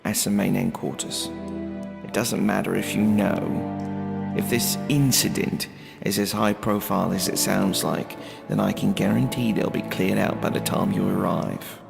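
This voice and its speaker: An actor playing an insolent captured rebel in an audio drama, gravelly and creaky, with a sneering, sardonic delivery. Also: male voice, low-pitched, steady tone, a little slow, full character voice. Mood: weary defiance. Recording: long hall reverb, music bed.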